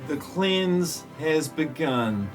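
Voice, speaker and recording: A man playing a solemn otherworldly messiah in a spoof audio play, speaking in a portentous, measured way. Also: on the high side, lively intonation, faint music behind. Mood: calm, grave. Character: solemn otherworldly messiah passing judgement on humanity.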